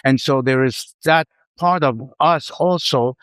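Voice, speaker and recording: Determined middle-aged professor, dry close voice. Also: male voice, medium pitch, a little slow, a bit bright, clear, resonant tone, dry recording. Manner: determined, defiant, repeats phrases for emphasis. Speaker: professor, panelist. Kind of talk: round table remarks.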